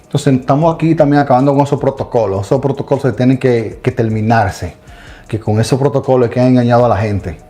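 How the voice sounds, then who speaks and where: A man's voice: medium pitch, fast, mellow, faint music behind. A middle-aged man co-hosting a casual Bible discussion, talking emphatically and addressing listeners directly. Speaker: co-host of a Bible study channel.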